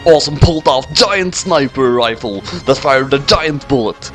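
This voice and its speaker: A man's voice: medium pitch, expressive, normal speed. A man doing a dramatic narration of an amateur action story. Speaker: narrator reading a fan fiction aloud. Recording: music playing behind the voice. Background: music.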